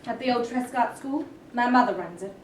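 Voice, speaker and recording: A woman's voice: a little high, a little fast, a little hiss or hum, close to an everyday voice. A woman reading an earnest, questioning young woman. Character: young woman Denisha, bewildered then defiant. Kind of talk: staged reading of a play. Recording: echoey room, distant mic.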